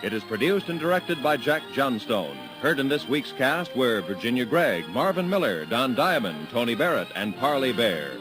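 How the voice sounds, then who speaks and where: A man announcing credits in a formal, polished old-time radio style. His voice is lively in pitch, and music plays clearly behind him. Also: normal speed, few pauses.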